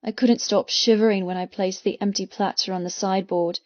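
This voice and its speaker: A female audiobook narrator with a medium-pitched voice reads first-person fiction expressively and intensely. The recording is very dry and slightly muffled, with no room around the voice. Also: fairly even intonation, a little fast, darkish tone, dry recording. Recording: slightly muffled, dry close studio sound.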